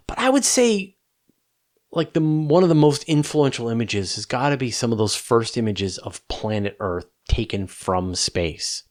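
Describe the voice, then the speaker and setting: A man's voice: mid-range voice, animated, a little slow. A man hosting a question-and-answer show, explaining things in a casual, enthusiastic and emphatic conversational way.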